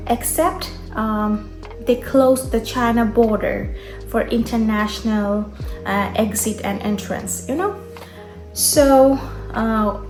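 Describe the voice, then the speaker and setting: Young woman vlogger from Bangladesh, higher voice, relaxed storytelling with fillers, roomy echo. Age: young adult. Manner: unhurried, conversational, with frequent fillers. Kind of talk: personal story vlog.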